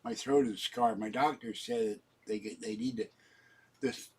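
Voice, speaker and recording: A middle-aged man from Pittsburgh, chatting in a meandering way on his vlog, with a husky, scarred-sounding voice and frequent throat clearing. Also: on the low side, flat delivery, normal speed. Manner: meandering, chatty, warm sign-offs to viewers. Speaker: vlogger. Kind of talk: casual rambling vlog chat.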